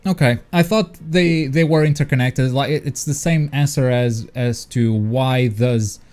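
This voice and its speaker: A man's voice: mid-range voice, unhurried, darkish tone. A man hosting a casual conversational chat about video game stories. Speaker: host. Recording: faint music behind the voice.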